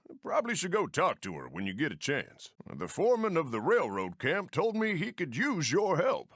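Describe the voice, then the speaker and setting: An actor playing a friendly Old West steam train engineer in a video game, with an American accent and folksy frontier phrasing. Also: male voice, fairly high pitch, wildly swooping pitch, normal speed, fairly bright tone, no room sound, studio quality, exaggerated voice. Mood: helpful. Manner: folksy, colloquial drawl of the frontier. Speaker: mission-giver game character. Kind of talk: animated video game voice acting.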